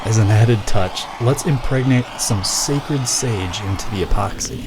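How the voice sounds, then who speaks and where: A man's voice: on the low side, unhurried. A man giving scripted, mock-documentary narration in formal, elaborate wording for a DIY craft video. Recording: clear background noise.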